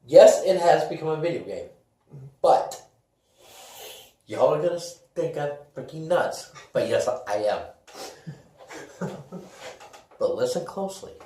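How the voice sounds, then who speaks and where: A man co-hosting a casual talk show, speaking informally and emphatically, pausing mid-sentence. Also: on the high side, sing-song, dark tone. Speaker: co-host of a video talk show. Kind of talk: casual chat, pitching a movie idea. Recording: roomy sound, faint noise.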